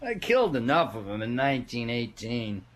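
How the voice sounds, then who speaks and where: A man's voice: mid-range voice, very animated, crowd noise, lightly acted. An actor playing a bitter, contemptuous American father and war veteran in a radio drama, sneering as he spits out insults. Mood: bitter contempt. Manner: slow, sneering, spitting out insults.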